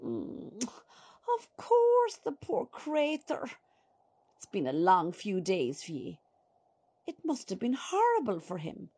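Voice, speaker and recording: A nosy older Irish neighbour , acted by a woman in a radio drama. Her voice is husky and swings widely up and down. She chats and probes with syrupy, dramatic sympathy. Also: medium pitch, wildly swooping pitch, slow, dark tone, exaggerated voice. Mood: prying sympathy. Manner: chatty, probing, dramatic. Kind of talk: radio play acting.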